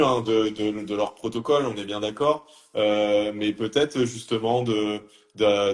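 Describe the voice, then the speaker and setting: A man hosting a live video talk, speaking in a relaxed, friendly way with many fillers. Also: on the low side. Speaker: host. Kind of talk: casual live interview. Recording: echoey room.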